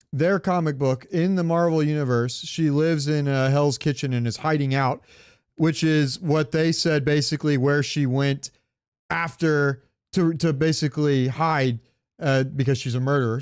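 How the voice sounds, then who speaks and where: A man's voice: fairly high pitch, a little mellow, clean tone, dry recording, studio quality. A man co-hosting a podcast in casual pop-culture chat, rambling and searching for words, with casual swearing. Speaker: podcast co-host.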